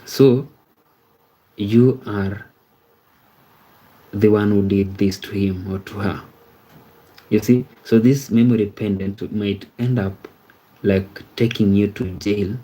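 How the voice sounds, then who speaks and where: A man in his thirties hosts a relaxed livestream, talking straight to his viewers. His voice sits low and mellow with a fairly flat, even delivery. He rambles, repeats words and keeps checking in with "you see?". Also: slow pace, long pauses, rough recording. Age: 30s. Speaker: livestream host. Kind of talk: casual livestream chat reading viewer comments.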